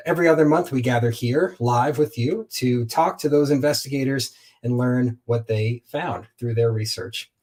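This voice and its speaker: A man with a mid-pitched voice hosts a live online program. He addresses the viewers directly and explains in a clear, presenter-like way. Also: a little mellow. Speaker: host.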